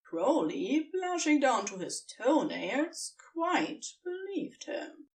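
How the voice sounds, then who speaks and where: A woman reading scripted fan fiction narration in an expressive storytelling manner. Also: sits high, slow pace, far from the mic.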